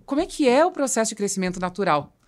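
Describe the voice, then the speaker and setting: Female podcast host, Brazilian accent, mid-pitched voice, explanatory and emphatic, conversational business talk. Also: sing-song, fast talker, a little mellow.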